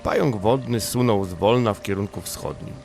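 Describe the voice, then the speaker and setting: A man reads a bedtime story as an audiobook narrator. His voice sits fairly low with a distinct creaky grain. Music plays clearly underneath. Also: moderate pace, a little mellow.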